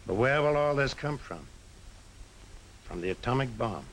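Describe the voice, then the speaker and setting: An actor playing a sober, principled small-town man in a 1950s film drama, speaking earnestly and deliberately on an old soundtrack. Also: medium pitch, animated, slight background noise, natural voice, poor audio. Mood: grave, earnest.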